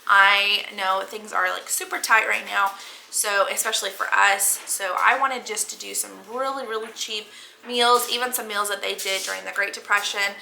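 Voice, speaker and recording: A hoarse woman in her thirties hosting a casual cooking vlog, chatty and full of ums and likes. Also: mid-range voice, fairly even intonation, moderate pace, bright, forceful tone, slight background noise, low-quality recording. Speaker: cooking channel host.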